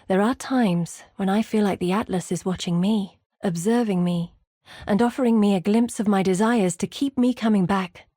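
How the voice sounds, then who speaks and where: A woman playing an earnest, weary scholar-explorer in a video game, telling her recollections in a measured, articulate way. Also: mid-range voice, warm tone, dry recording, clean studio sound, lightly acted. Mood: uneasy, pensive. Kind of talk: scripted video game character monologue.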